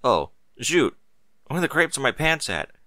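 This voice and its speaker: A man's voice: medium pitch, sing-song, measured pace, bright, forceful tone, dry recording, studio quality, voice pushed up. A man voicing a drowsy, good-natured husband, groggy and just awake, in a storybook reading. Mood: groggy, confused.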